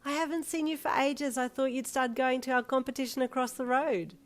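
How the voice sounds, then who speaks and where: A woman's voice: sits high, fairly even intonation, fairly quick, pitched above the performer's own voice, close to an everyday voice. A woman voicing a friendly, slightly hesitant waitress in a narrated story.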